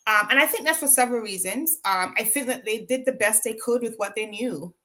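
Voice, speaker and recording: A woman's voice: fairly high pitch, a little fast, a bit bright. A woman from Jamaica with a Jamaican accent, telling her own story as an interview guest, reflective, with frequent ums and tag questions.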